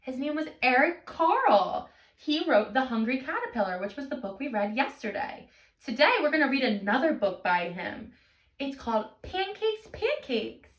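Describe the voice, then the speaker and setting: A woman hosting storytime like a teacher, reading a picture book to young children in an animated, expressive way. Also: high voice, moderate pace, reverberant, far from the mic. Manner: animated, expressive teacher talk with simple questions and explanations.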